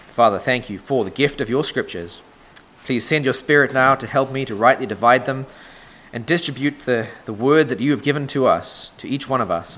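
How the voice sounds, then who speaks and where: Hoarse man preaching a sermon. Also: mid-range voice, fairly bright tone. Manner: measured, deliberate preaching cadence. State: hoarse from a sore throat. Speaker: pastor. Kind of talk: sermon with scripture reading.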